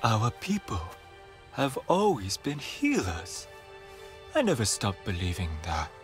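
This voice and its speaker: An actor voicing an anxious, earnest alien healer in a video game cutscene, with an emphatic, halting delivery. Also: male voice, fairly low pitch, huge pitch swings, faint music behind, full character voice. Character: anxious, excitable male Na'vi healer, worried and earnest.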